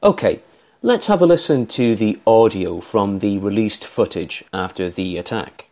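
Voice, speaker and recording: Irish man, low-pitched, formal and measured, analyst reading a prepared commentary. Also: expressive, a little mellow.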